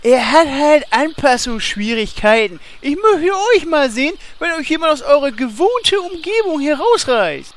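A woman's voice: high voice, very animated, moderate pace, few pauses. A woman voicing a naive, proud male warrior in a game parody radio play, earnest and easily embarrassed.

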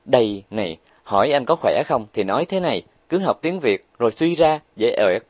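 A man voicing a brash, boastful young woman who is rich and bossy, talking loudly and bragging. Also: mid-range voice, animated, normal speed, dry recording, close to an everyday voice.

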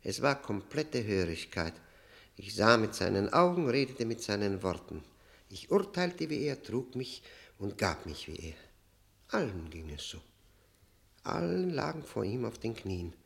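An actor playing a haunted, agitated man confessing his past in a German radio drama, halting and brooding, swinging from emphatic outbursts to near whispers. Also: on the low side, very animated, gravelly, exaggerated voice. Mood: brooding, fervent.

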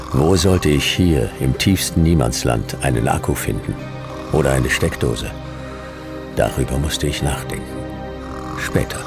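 Very deep, gravelly hard-boiled detective. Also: male voice, heavily acted. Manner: terse, dry, brooding. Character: laconic, world-weary male hard-boiled detective narrating his adventure. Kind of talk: radio noir narration and dialogue. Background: music.

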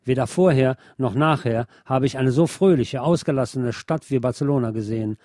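Ein Mann, der als Sprecher einer Radiosendung einen literarischen Sachtext auf Deutsch mit deutschem Akzent vorliest. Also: male voice, mid-range voice, moderate pace, dark tone, no room sound, studio quality. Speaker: radio programme presenter reading a book chapter. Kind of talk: scripted narration, literary reading.

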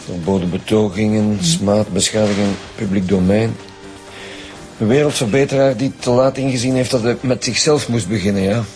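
A man's voice: fairly low pitch, fairly even intonation, moderate pace, a little mellow, lightly acted. An actor plays a world-weary male police boss. He is dry, sardonic and dismissive, over faint music in a radio drama. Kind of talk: naturalistic radio drama acting.

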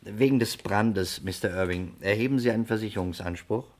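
Male actor, very low voice, calm detective, terse and businesslike, 1960s radio crime play. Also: natural voice. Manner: measured, terse, matter-of-fact. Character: calm, probing male investigator. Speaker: investigator. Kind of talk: 1960s German radio crime drama acting.

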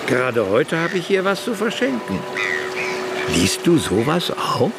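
A man's voice: fairly high pitch, huge pitch swings, unhurried, creaky, full character voice. An actor playing a teasing, kindly man who talks coaxingly to a small boy in a radio drama. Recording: noisy outdoor-like ambience behind.

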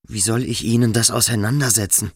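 An actor in a German radio drama playing an intense young man who speaks in halting, dramatic fragments. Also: lowish voice, fairly even intonation, no room sound, studio quality. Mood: hesitant, searching. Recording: music behind the voice. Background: music with strings.

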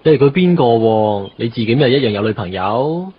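A man's voice: medium pitch, animated, a little fast, slight background noise, lightly acted. An actor playing an earnest, wistful married salesman in a Cantonese radio drama, chatting in Hong Kong Cantonese sprinkled with English words. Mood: wistful, needling. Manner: conversational storytelling with English words mixed in. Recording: muffled radio drama with music bed.

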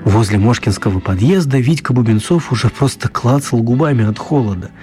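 A male actor plays a writer narrating his own magical story for a radio play. His voice is mellow and mid-pitched, in scripted storytelling style with music underneath. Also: animated, fairly quick, close to an everyday voice. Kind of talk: scripted audio drama narration.

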